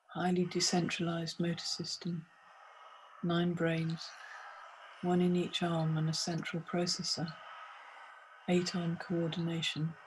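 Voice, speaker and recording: Female meditation guide, flat intonation, mid pitch, deliberate phrasing, faint noisy ambience behind the voice. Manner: measured, short deliberate phrases with pauses. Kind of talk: scripted guided meditation narration.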